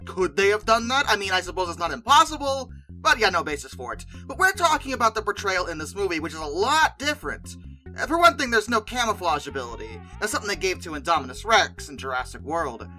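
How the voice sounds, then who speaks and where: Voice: medium pitch, big pitch swings, quick pace, sharp tone, faint music behind, poor audio. A video host giving casual, opinionated commentary in a conversational, emphatic way with exasperated asides.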